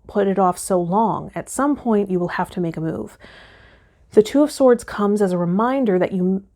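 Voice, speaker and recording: A woman hosting a tarot channel, talking and reading guidance aloud in a measured, instructional way. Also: mid-range voice, dark tone. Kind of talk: vlog talk with reading aloud from tarot guide.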